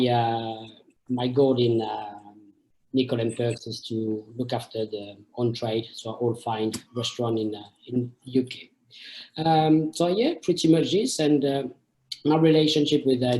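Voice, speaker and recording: A man in the restaurant trade introducing himself in halting English, with frequent fillers. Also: medium pitch, a little mellow, slight background noise, poor audio. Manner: hesitant, non-native phrasing. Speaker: sommelier. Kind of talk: casual introduction.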